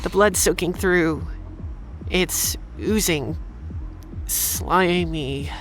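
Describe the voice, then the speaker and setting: A frightened, wounded noir heroine narrating in the first person. Her voice is fairly low for a woman and she speaks slowly and haltingly, turned inward, over faint dramatic music. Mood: dazed panic. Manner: halting, introspective delivery.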